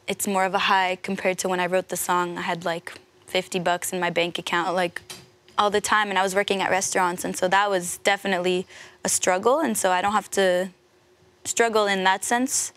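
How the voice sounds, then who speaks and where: Chatty young woman, low-pitched, casual. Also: a bit bright. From United States (San Francisco Bay Area). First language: French. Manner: chatty, laughs easily, lots of "like". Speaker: guest, singer. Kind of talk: casual interview.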